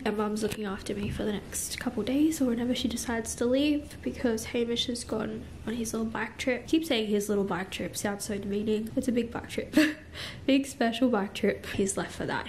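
A woman's voice: on the high side, darkish tone, slight background noise. A young woman in her twenties with a stuffy, congested nose, chatting frankly to her phone camera at home, filling her talk with "like". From Australia. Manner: chatty, frank, lots of "like" fillers. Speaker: vlogger. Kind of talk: casual vlog chat to camera. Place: home living room and kitchen, indoors. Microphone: phone camera at arm's length.

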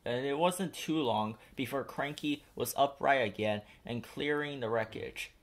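A man reading a children's picture book aloud as a storyteller, expressive and deliberate. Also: mid-range voice, a little hiss or hum.

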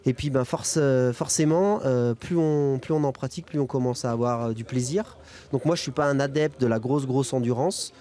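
A man's voice: medium pitch, moderate pace, dark tone. A young man speaking casually as an interview guest, hesitant and colloquial, into a handheld microphone outdoors. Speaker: guest freeride skier. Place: outdoors at a snowy ski resort event. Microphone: handheld reporter microphone.

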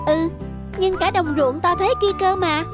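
Sweet, polite little schoolkid, very high childlike voice for animation, music underneath. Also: female voice, fairly even intonation, unhurried. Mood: excited wonder. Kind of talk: children's cartoon dubbing. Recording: music behind the voice.

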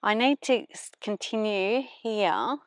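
A woman's voice: mid-range voice, expressive, slow, no echo. Middle-aged woman narrating, dry close sound. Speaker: vlogger, permaculture farmer. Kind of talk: explanatory vlog narration.

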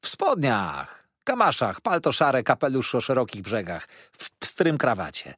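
Storybook role: sly jovial servant, acted by a man, talkative and dramatic, very animated delivery with a rough edge. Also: mid-range voice, a little slow, dry recording, clean studio sound, heavily acted. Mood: ominous storytelling. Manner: chatty, exclaiming, theatrically dramatic. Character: sly, jovial manservant with a hearty appetite. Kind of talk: dramatised audiobook reading.

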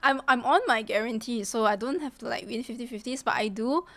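Slightly hoarse young woman streamer, chatty. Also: a little high, fairly quick, a bit bright, no room sound. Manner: chatty, greets viewers, many fillers. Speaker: livestreamer. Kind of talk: casual gaming stream chat. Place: small room, indoors. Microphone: desk mic in front of her. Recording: music playing behind the voice.

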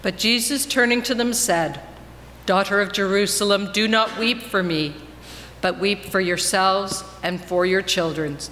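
Woman doing a solemn bible reading, bright voice, big echoey church w some noise. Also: medium pitch, a little slow. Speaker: reader in a church Passion reading. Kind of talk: dramatic scripture reading. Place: large church, before a congregation. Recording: echoing church hall, noticeable noise.

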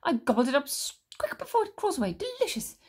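A woman's voice: high voice, sing-song, quick pace, a little mellow, voice pushed up. A woman voicing a tiny, cruel, grumbling old grandmother who snaps orders and relishes disgusting food. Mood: relishing. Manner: snappish, bossy, relishing disgust.

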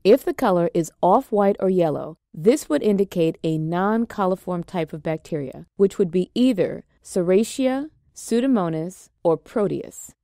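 A woman giving scripted, step-by-step instructional narration in a steady, measured way. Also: on the low side, no echo, studio quality. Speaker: extension educator.

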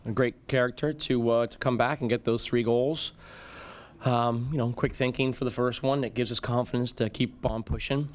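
A middle-aged man, a football head coach, speaking in a measured, reflective way at a postgame press conference podium. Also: mid-range voice, fairly even intonation. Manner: measured, reflective, frequent fillers. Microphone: podium microphone.

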